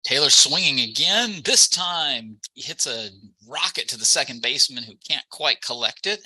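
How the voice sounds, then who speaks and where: A man's voice: mid-range voice, lively intonation, normal speed, sharp tone, slightly rough, no room sound, low-quality recording. A man doing live baseball play-by-play commentary as the game's announcer.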